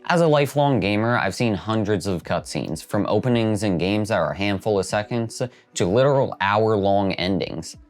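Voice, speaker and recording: A man with a fairly low voice hosts a video essay. He reads scripted narration over background music. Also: lively intonation, moderate pace, hardly any pauses.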